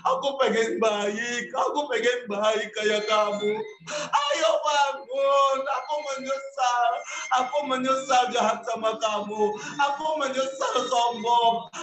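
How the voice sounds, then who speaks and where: A man's voice: very high voice, background noise. A man voicing a remorseful young boy who pleads, sobs and cries out prayers over his dying friend. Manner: pleading, crying out, shouting prayers. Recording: echoey room, faint music.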